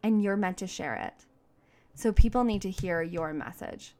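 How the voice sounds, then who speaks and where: A woman's voice: mid-range voice, moderate pace, dark tone. A woman giving a motivational solo podcast talk as a coach, speaking in an emphatic, informal, slangy and outgoing way. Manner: outgoing, self-described big voice.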